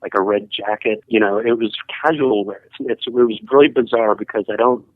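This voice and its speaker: A man tells his story down a telephone line. He talks in a halting, rambling way and hedges often. His voice sits a little low and sounds dry and tinny. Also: no echo. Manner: halting, rambling, full of hedging fillers. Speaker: caller sharing a ghost story. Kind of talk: casual storytelling.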